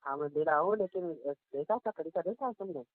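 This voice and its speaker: A man's voice: on the high side, lively intonation, fairly quick, dry recording, natural voice. Man playing sulky jealous boyfriend, tinny phone line. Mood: irritated, accusing. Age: young adult. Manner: accusing, defensive, grumbling. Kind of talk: naturalistic relationship phone-call roleplay. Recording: tinny, narrow phone-call sound.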